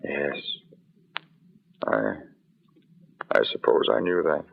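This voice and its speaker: An actor playing an ardent, anxious gentleman in an old-time radio drama, with earnest, formal, theatrical phrasing. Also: very deep voice, lively intonation, slow, creaky, heavy background noise, exaggerated voice. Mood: ardent pleading. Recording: dull, lo-fi old radio recording.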